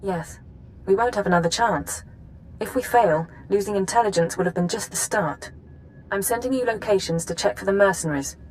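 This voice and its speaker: Grave female spymaster, acted by a woman, faint rumble. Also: a little fast, lightly acted, poor audio. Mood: grave, urgent. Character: female intelligence chief, grave commanding spymaster, resolute. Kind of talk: video game dramatic acting.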